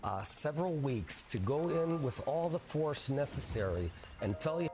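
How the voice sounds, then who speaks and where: A man answering questions in a televised interview, measured and hesitant, with many fillers. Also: a little high, expressive.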